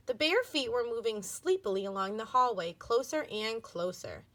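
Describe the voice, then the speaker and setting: A woman reading a children's book aloud as an expressive storybook narrator. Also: on the high side, moderate pace.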